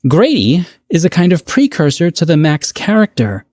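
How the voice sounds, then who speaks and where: A man from the United States giving prepared, thoughtful podcast commentary in a measured, articulate, earnest way. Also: a little high, huge pitch swings, a little mellow, no room sound, clean studio sound.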